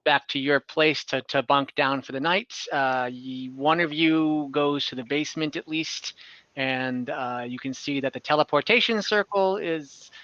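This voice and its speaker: A man with a bright, slightly high voice hosts a tabletop game as game master. He improvises narration in an informal, halting way, thinking aloud. The sound is dry, close and a little dull. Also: no room sound. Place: online voice call from home. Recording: dull, close online call audio.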